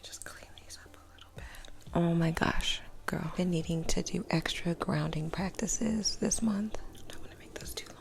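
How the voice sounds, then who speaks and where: A woman in her thirties, sleepy and just up, chatting casually in a soft whisper while doing her makeup at home. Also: on the low side, normal speed, creaky. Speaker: vlogger. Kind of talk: soft-spoken whispered get-ready-with-me vlog.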